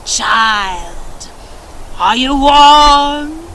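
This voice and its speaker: A slow, booming old male winter spirit, as a woman storyteller puts him on. He stretches every word of his questions, calling down from the treetops in a storybook voice. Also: animated, fairly bright tone, slight background noise, heavily acted, poor audio.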